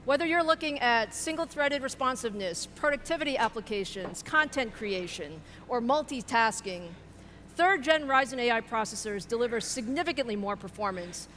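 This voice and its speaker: A woman's voice: a little high, a bit bright. A woman giving a polished, upbeat keynote presentation, introducing products and partners on stage. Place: large keynote hall. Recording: large hall reverb with faint noise.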